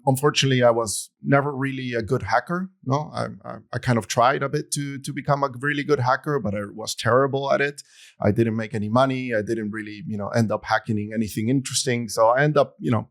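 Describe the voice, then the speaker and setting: Thirties man, mid-pitched voice, easygoing interview guest who rambles with fillers. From Argentina. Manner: relaxed, rambling, many "you know" fillers. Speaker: guest, security executive. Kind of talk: casual podcast interview. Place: studio.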